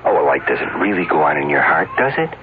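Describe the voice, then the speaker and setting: A gentle woman asks a child soft, curious questions. Her voice is very low for a woman and moves lively. It comes through a thin, tinny broadcast with faint noise. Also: fast talker.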